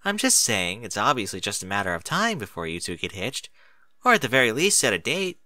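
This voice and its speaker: A man's voice: lowish voice, wildly swooping pitch, a little fast, bright, forceful tone, no echo, clean studio sound, heavily acted. A man voicing a chatty, coy adult mare who teases playfully in a story reading. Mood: teasing.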